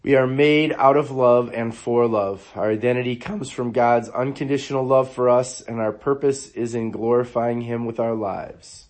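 Hoarse man reading devotional quotes. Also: medium pitch, steady tone, a little mellow. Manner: earnest, measured reading of quotes. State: hoarse, under the weather. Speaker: podcast host.

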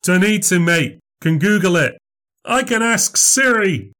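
A man's voice: sits high, lively intonation, a little slow, bright, forceful tone, voice pushed up, full character voice. A man voicing cocky young rugby hecklers who jeer and call people mate. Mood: mocking. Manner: jeering, dismissive, says "mate".

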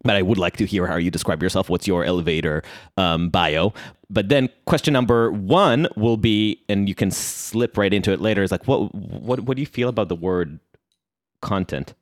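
Deep-voiced Israeli man, dry close sound. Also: normal speed, no room sound. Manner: hesitant, restarts sentences, dry humor. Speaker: podcast co-host. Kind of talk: casual podcast chat and promo.

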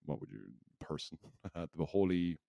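A man with a very low voice co-hosts a casual podcast. He speaks in a loose, rambling flow, often poking fun at himself. The sound is close and dry, with hardly any room in it. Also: lively intonation, unhurried, creaky, no room sound, dull audio. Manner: rambling, jokey, self-deprecating. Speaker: podcast co-host. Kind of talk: casual unscripted podcast chat.

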